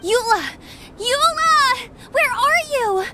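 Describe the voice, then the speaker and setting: A woman's voice: extremely high pitch, animated, takes it slowly, pushed and bright, full character voice. A woman playing an eager, friendly young female knight scout in a video game, speaking in an exclamatory way. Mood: excited.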